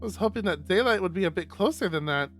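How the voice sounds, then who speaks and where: A man's voice: high voice, lively intonation, fast talker, a bit bright. A man streaming a visual novel, reading first-person fiction aloud. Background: guitar music.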